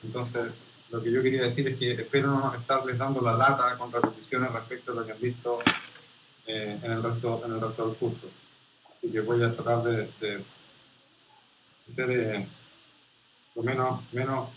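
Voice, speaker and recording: Chilean man, guest lecturer, halting speech, frequent restarts, roomy room sound, dull lo-fi video-call audio. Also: mid-range voice, little pitch movement, a bit bright, slight background noise. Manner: halting, often restarting phrases. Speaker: statistics specialist giving a guest lecture. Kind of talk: informal lecture over videoconference.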